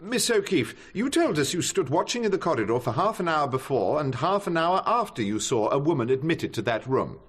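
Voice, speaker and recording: An actor playing a wry, needling defence barrister with an English accent and mock-polite, sardonic delivery in a radio drama. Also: male voice, a little high, very animated, a little fast, hardly any pauses, breathy edge. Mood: probing, sardonic. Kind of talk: BBC radio drama acting.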